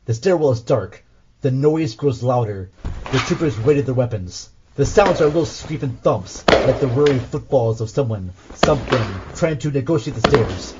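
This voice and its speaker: Male narrator, scripted scene-setting, audio drama, mid-range pitch, slightly dull recording. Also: noisy background. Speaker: host and narrator.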